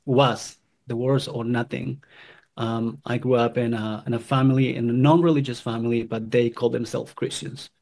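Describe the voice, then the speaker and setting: Man from Guatemala, gravelly, earnest and halting personal testimony. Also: mid-range voice, darkish tone. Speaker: convert sharing his story.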